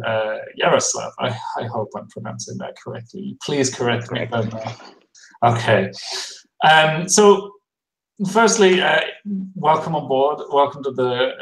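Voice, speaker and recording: A man hosting a livestream interview over a video call, halting with many fillers and restarts. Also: medium pitch, gravelly, echoey room, low-quality recording. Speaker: host.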